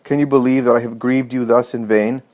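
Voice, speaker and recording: A man's voice: medium pitch, level tone, brisk, darkish tone, lightly acted. A man reading a compassionate holy teacher in a story, speaking with solemn authority and searching questions. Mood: firm consoling. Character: compassionate, commanding holy male teacher consoling mourners.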